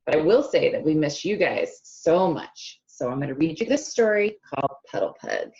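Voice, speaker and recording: A woman reading a picture book aloud to children, expressive and stretching key words, often stopping to ask them questions directly, on a slightly dull lo-fi recording. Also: low-pitched, normal speed. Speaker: speech-language pathologist reading to children. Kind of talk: animated children's read-aloud with questions to listeners.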